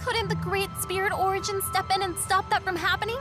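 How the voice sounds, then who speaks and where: An actress playing a small, earnest, blunt child in a video game. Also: female voice, very high-pitched, steady tone, fairly quick, over music. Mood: questioning.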